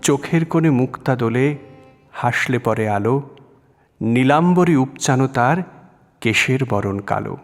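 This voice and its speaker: A man plays a whimsical, lovestruck college boy in a romantic audio drama, speaking Kolkata Bengali. His delivery is playful and very animated, in a long reverb over music. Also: medium pitch, measured pace, pauses often. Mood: tender, poetic. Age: young adult. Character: cheeky, dreamy, lovestruck young male student.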